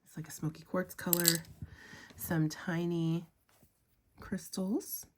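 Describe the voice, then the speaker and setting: A young woman with a cold and a sniffly voice, chatting casually as she unboxes craft supplies, gushing with drawn-out "ooh"s and "um"s. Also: lowish voice, flat delivery, warm tone. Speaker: crafting vlogger.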